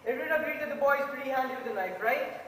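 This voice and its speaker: A young Indian man in an amateur stage play, acting a brisk, logical juror who questions others. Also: extremely high pitch, fairly bright tone. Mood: questioning. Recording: distant echoey hall, hiss.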